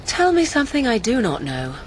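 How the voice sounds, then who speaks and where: Casting: sardonic witch of the wilds, fantasy game. Fairly high voice, theatrical and haughty. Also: huge pitch swings, brisk, slight background noise. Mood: disdainful. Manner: arch, disdainful, theatrical old-fashioned phrasing. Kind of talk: fantasy video game voice acting.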